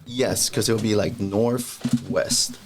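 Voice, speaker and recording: A young man from El Salvador, a guest answering interview questions in a plain, measured, somewhat hesitant way. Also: fairly low pitch, steady tone, slight background noise.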